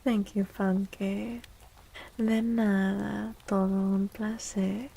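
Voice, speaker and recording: A woman speaks in a soft, breathy voice with a crackle of fry, often dropping to a whisper. She takes her time, gently reassuring listeners in the manner of an ASMR tarot livestream. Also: mid-range voice, flat delivery, slow pace. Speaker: livestream host and tarot reader.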